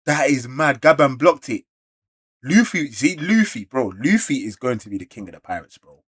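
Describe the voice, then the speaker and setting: A man with a London accent giving an excited, slangy read-along reaction to manga, full of exclamations. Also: mid-range voice, normal speed, breathy edge. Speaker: YouTube reactor.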